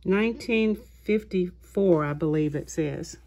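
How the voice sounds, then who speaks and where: A woman hosting a haul video of her craft purchases, talking in an unhurried way with many small pauses and fillers. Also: lowish voice, darkish tone. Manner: slow, unhurried, halting with fillers. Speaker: craft channel host. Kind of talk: show-and-tell haul video. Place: indoors at a tabletop, voice-over of hands.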